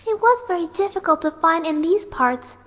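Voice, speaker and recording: A woman voicing a gentle, soft-spoken young female pegasus pony who is serenely deranged, in a horror fan fiction reading. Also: very high-pitched, animated, pitched above the performer's own voice. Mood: tender, sinister. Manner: gentle, sweet, unhurried while menacing. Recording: music behind the voice.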